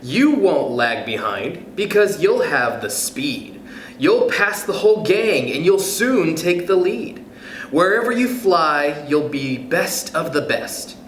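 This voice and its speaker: A young man reading a picture book aloud to children, gentle and animated, with soft chuckles and friendly asides. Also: on the high side, slow pace, echoey room, slight background noise. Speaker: youth club storyteller.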